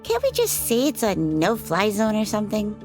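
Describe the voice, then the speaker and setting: A woman voicing a playful, flirtatious woman, a vampire's lover, in an audio drama, teasing and unhurried as she tells her stories. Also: medium pitch, wildly swooping pitch. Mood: teasing, confiding. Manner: teasing, unhurried storytelling with frequent laughter. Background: background music.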